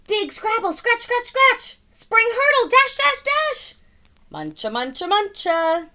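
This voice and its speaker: A woman's voice: very high-pitched, very animated, slow pace, pushed and bright. A woman reading a children's story aloud in a dramatic, emphatic style, playful with sound words. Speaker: storybook reader. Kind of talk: animated children's read-aloud narration.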